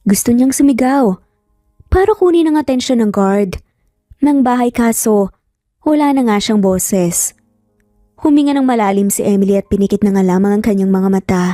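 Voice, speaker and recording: Bright-voiced Filipino woman narrating romance. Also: medium pitch, normal speed, pauses often, dry recording, studio quality, faint music behind. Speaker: storyteller, narrator. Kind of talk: scripted dramatic romance story narration.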